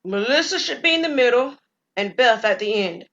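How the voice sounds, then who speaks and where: A young college guy takes the lead on a risky trail, giving brisk, upbeat directions in a story drama, voiced by a woman storyteller. His voice sits fairly high and sounds lively as he keeps the group moving. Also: highs cut off. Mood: directing. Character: eager young college man, brave front, group leader. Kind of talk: audio drama acting.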